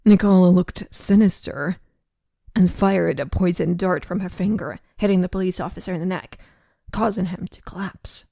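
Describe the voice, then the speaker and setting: A woman's voice: on the low side, moderate pace, creaky, warm tone, dry recording, studio quality. A woman reading scripted narration for an audio drama.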